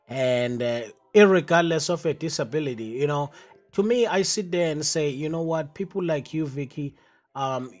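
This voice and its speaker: A male radio host interviewing a guest, enthusiastic and halting, with many fillers and restarts. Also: a little high.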